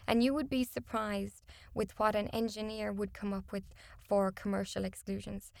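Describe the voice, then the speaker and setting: Creaky-voiced woman explaining contracts. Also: mid-range voice, dry recording, studio quality. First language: not English. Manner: explanatory, emphatic, some restarts. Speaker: contracts and disputes consultant. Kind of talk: interview answers.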